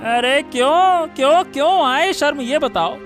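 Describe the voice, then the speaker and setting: A man plays a meddlesome village minister in a cartoon tale. The voice is very high and slow, taunting with drawn-out questions, with music underneath. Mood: mocking, taunting. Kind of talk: Hindi cartoon moral-story dialogue.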